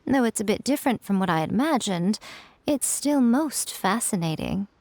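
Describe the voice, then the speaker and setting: A woman's voice: medium pitch, lively intonation, a little mellow, no room sound, close to an everyday voice. Woman acting teasing, elegant fox spirit shrine maiden. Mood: composed, curious. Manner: playful, coy, smug, deliberate phrasing. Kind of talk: polished video game character acting. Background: music.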